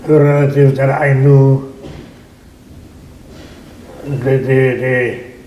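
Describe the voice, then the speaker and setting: An older man from Hungary, a winery owner, telling his story in an oral history interview, halting and repeating words often. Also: mid-range voice, steady tone, mellow, roomy sound, a little hiss or hum, rough recording.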